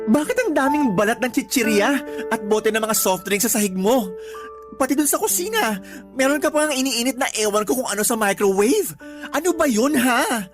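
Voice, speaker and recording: A woman's voice: on the high side, animated, normal speed, over music, lightly acted. A woman playing a nagging but concerned cousin, scolding and questioning in radio drama dialogue.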